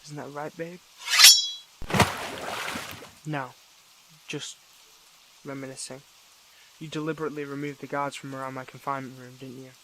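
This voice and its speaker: A man playing a weary, defiant young superhero in a dramatic audio roleplay, speaking haltingly and broodingly to the listener. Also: mid-range voice, flat delivery, very noisy, close to an everyday voice. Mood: bitter, reflective.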